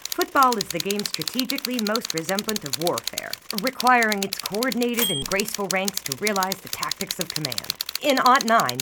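A woman's voice: mid-range voice, very noisy, lightly acted. A woman playing a bookish 1920s sportswriter, narrating her memoir in florid, old-fashioned phrases. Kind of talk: scripted first-person memoir narration. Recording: loud typewriter clatter over the voice.

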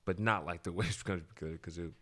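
Deep-voiced male guest, loose and halting, thinking out loud. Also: steady tone. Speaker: podcast guest. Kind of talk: casual podcast conversation.

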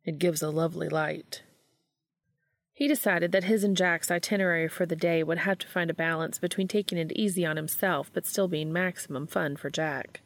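A woman's voice: lowish voice, steady tone, a little fast, hardly any pauses, dry recording. A woman reading a story aloud as scripted narration. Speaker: audiobook reader of fan fiction.

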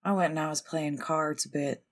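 A woman's voice: sits low, steady tone, moderate pace. A woman playing a deferential, guarded stunt driver in an audio drama, speaking politely with folksy, careful hesitations. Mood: casually evasive. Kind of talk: 1920s-set audio drama roleplay.

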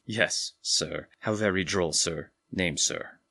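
Man playing low-voiced, fussy hotel clerk. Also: takes it slowly, creaky, heavily acted. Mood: dry. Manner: formal, fussy, deadpan. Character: prim, put-upon, dryly officious male hotel clerk. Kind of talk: 1920s period audio drama.

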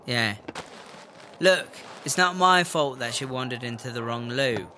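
A man's voice: mid-range voice, unhurried, bright, forceful tone. A man playing a condescending, defensive prisoner in a comedy audio drama, full of rhetorical questions. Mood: smug, defensive. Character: smug, dismissive British inmate proud of studying. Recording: noisy room ambience.

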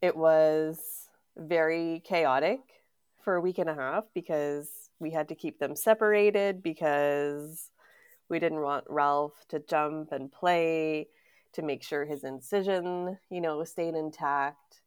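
A woman's voice: deep voice, steady tone, slow, clean tone. A congested woman co-hosting a podcast, chatting casually and giggling. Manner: giggly, chatty with many fillers. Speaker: podcast co-host. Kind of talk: casual chat.

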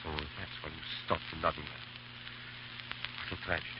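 Earnest deep-voiced patriot, old radio. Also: male voice, level tone, unhurried. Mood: concerned. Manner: earnest, intense, dramatic. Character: earnest, worried French patriot, loyal comrade and brother. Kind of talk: 1930s radio drama acting. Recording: muffled, hissy 1930s radio recording.

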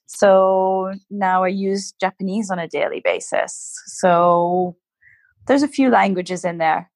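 A woman's voice: medium pitch, steady tone, slow pace, darkish tone, no room sound. Canadian woman explaining in casual chat. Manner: self-deprecating, laughs often, explains patiently. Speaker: interview guest.

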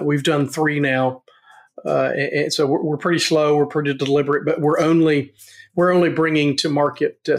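A middle-aged man with a Southern US accent, a guest in an interview, talking earnestly and haltingly with many restarts. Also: on the high side. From Tennessee, USA. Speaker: guest, real estate investor. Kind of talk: casual interview talk.

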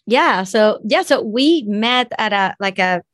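A woman's voice: mid-range voice, animated, unhurried, a bit bright, dry recording. Guest business coach, woman in her 30s, Venezuelan accent, chatty storyteller, dry close mic. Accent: Venezuelan Spanish. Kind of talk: casual interview chat.

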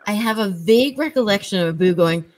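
A woman's voice: medium pitch, lively intonation, a little fast, darkish tone. A woman co-hosting a podcast in casual, slangy chat. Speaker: podcast co-host.

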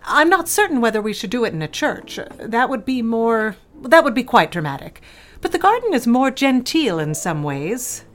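A woman's voice: fairly high pitch, very animated, moderate pace. An actress playing a genteel, controlling middle-aged society mother in an audio drama, fussy and pointed, breezily dismissive. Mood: brisk planning. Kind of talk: scripted audio drama acting.

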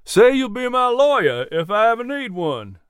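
Man acting older horse owner, storybook dialogue, raised pitch, genial, teasing, folksy, insistent, dry recording. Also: animated, measured pace, a bit bright, clear, resonant tone, no room sound. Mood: playful. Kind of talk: audiobook character dialogue.

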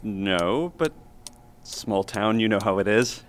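Chatty, eager small-town guy, animated. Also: on the low side, slow, noisy background. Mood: friendly, nosy. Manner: folksy, rambling, over-eager. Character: chatty, eager small-town man welcoming a returning local. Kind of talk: naturalistic audio drama acting.

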